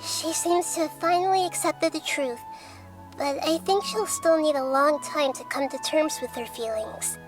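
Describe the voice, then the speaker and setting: A wise little goddess, played by a woman, speaks in a high, soft, thoughtful voice. The tone stays gentle and measured as a game score plays loudly behind her. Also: lightly acted. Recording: game audio with loud music behind.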